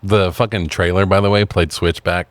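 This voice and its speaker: Man, low deep voice, casual review chat, geeky profane banter, podcast co-host. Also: fairly even intonation, moderate pace, dry recording.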